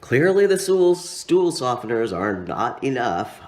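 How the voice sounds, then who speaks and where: A man puts on a brisk woman doctor for an audiobook story. She lectures her patient in a clinical, instructing manner, with the pitch pushed up and swinging. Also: very animated, darkish tone.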